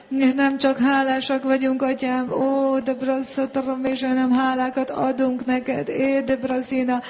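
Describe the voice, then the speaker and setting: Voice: sits high, darkish tone, muffled recording. A prayer leader praying fervently in an incantatory, repetitive way, slipping between words and chanted speaking in tongues.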